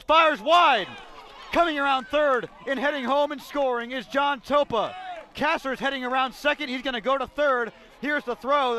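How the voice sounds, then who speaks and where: A man's voice: very high voice, bright, forceful tone. A man giving live radio play-by-play commentary as a baseball announcer.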